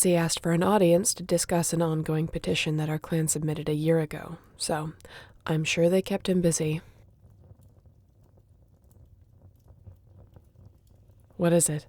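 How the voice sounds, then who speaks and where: A woman's voice: sits low, level tone, normal speed, few pauses, mellow, no echo. A woman playing a sassy, competitive young shifter in an audio roleplay, talking in a casual, teasing way. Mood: playful. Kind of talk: audio roleplay acting, addressing the listener.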